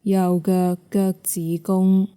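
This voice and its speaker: A woman with a Hong Kong Cantonese accent guides a yoga nidra meditation in a soft, measured, soothing way, leaving long pauses. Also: mid-range voice, little pitch movement, clean tone.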